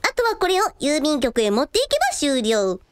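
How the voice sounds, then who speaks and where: Radio drama younger sister, played by a woman: high, animated, teasing, quirky mock-pompous turns of phrase. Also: normal speed, no room sound, studio quality. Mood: pleased. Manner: quirky, pompous sentence endings. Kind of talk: Japanese radio drama acting.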